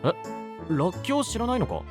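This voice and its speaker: Blunt tsundere young knight, anime drama, super animated pitch, faint music behind. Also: male voice, quick pace. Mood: exasperated. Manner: blunt, rough casual speech, tsundere. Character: brusque, easily flustered, earnest young male knight.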